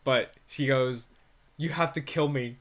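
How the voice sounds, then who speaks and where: Dude on a movie review podcast, medium pitch, kinda halting, loose and jokey chat. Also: male voice. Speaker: podcast co-host and film reviewer. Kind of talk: casual film review chat.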